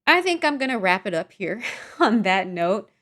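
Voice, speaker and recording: A young woman vlogger rambling softly and self-deprecatingly through a casual storytime monologue. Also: mid-range voice, sing-song, moderate pace.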